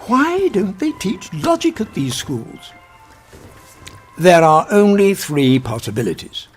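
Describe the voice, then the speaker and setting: Husky, animated old professor. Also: male voice, sits high, faint music behind, exaggerated voice. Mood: exasperated, reasoning. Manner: deliberate, formal, pedantic, gently exasperated. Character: kindly, wise, logical old professor of a country house. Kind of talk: radio drama acting.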